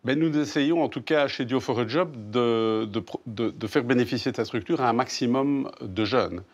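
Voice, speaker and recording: A man over fifty, a mentor, explaining deliberately and slowly in an interview. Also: medium pitch, lo-fi sound. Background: soft music.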